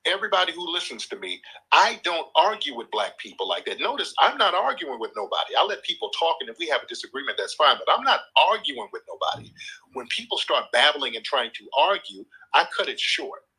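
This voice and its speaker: Combative man lecturing, husky bright voice. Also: a little high, big pitch swings, brisk, poor audio. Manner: combative, lecturing, repeats points; swears freely, talks over the caller. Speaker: live show host. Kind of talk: heated live call-in argument.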